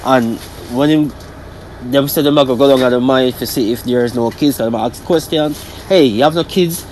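A man with a Jamaican accent in casual discussion, telling stories in halting, repetitive phrases. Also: medium pitch, fairly even intonation, a little mellow, a little hiss or hum, low-quality recording.

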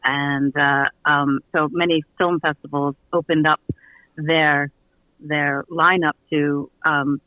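A man speaking as a guest in a casual radio interview about his creative work, with hesitant, halting phrasing. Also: fairly high pitch, level tone, dry recording, narrow, tinny audio. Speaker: audio theater producer and guest.

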